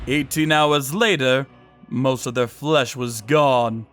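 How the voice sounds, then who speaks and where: A man narrating a manga dub in a cold, unhurried, sadistic manner. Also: mid-range voice, a bit bright. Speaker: narrator and torturer character. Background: faint music.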